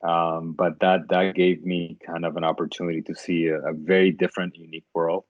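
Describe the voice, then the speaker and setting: Startup founder, thirties, very deep voice, halting and thoughtful, dead-dry lo-fi sound. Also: male voice, flat delivery, no echo. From Dominican Republic. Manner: thoughtful, many fillers and restarts. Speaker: startup CEO, guest. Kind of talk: interview answers.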